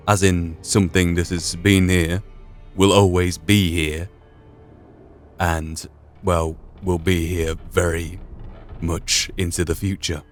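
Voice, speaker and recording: Low-voiced man musing over a game. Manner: musing, repeats and rephrases himself. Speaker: gaming video host. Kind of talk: in-character playthrough commentary.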